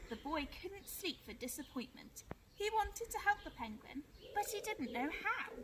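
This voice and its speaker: A woman teacher reading a picture book aloud to young children in an expressive, clearly phrased way. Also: sits high, background noise. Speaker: primary school teacher.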